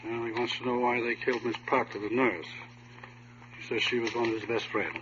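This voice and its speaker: A man's voice: mid-range voice, flat delivery, brisk, a bit bright, close to an everyday voice. An actor playing a kindly, patient, plain-spoken man on a sanitarium's staff in a radio drama. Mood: sympathetic. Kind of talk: 1950s radio drama acting. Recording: dull, noisy old radio recording.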